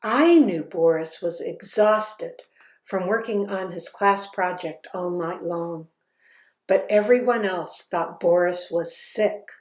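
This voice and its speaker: Slow, expressive woman reading aloud. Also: on the low side. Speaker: author reading her book aloud. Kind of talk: children's read-aloud. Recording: roomy echo.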